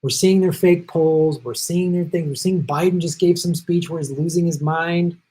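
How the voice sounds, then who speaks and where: A man's voice: on the high side, steady tone, mellow. A middle-aged man hosting a live stream, chatting casually and reading viewer comments while he is losing his voice and jet-lagged. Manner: chatty, thanks viewers by name, quick asides. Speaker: livestream host.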